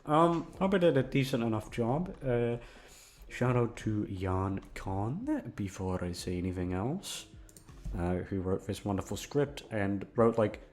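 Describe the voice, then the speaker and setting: Goofy man with wildly swinging pitch. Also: animated, a little slow, a little mellow. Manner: goofy, swearing, awkward, jumps into silly high tones. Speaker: audio roleplay performer. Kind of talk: casual rambling outro to listeners.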